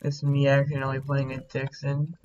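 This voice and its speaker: A young man speedrunning and chatting casually, his deep-sounding voice sitting at a middle pitch. He rambles in quick asides full of fillers. Faint background hum sits under him. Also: completely flat delivery, a little mellow, highs cut off. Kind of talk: casual gaming chat while speedrunning.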